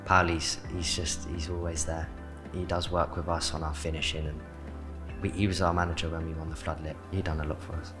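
A man's voice: a bass voice, level tone, brisk. A young man in his late teens from south London with a South London accent, a young footballer answering interview questions in short, plain replies with "like" as filler. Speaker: academy footballer. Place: indoor club media studio. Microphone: handheld mic. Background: background music.

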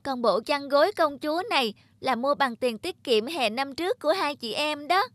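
A woman's voice: high voice, expressive, moderate pace, noisy background. A woman voicing a sweet, affectionate seven-year-old girl in a cartoon. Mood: sweet, excited. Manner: childlike, affectionate. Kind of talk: cartoon acting.

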